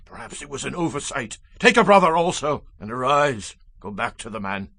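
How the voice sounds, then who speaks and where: A grieving old Hebrew patriarch in a scripture audio drama. His voice is pitched high for a man, mournful and emphatic, and recorded dry and close. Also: pushed and bright, no room sound, exaggerated voice. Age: older. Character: grieving elderly Hebrew patriarch, fearful and resigned.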